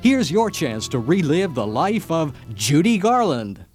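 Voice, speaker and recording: A man's voice: fairly high pitch, sing-song, a little slow. A man playing a grandiose, dramatic contest announcer in a satirical radio ad. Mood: grand, enticing. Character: grandiose contest announcer selling a movie star's glamorous, tragic life. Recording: music bed under the voice.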